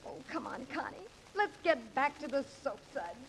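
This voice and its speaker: An actress playing a proud, plucky young dishwasher turned stage star in a 1950s radio drama. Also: female voice, high voice, lively intonation, normal speed, creaky, faint music behind, poor audio. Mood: hurt indignation.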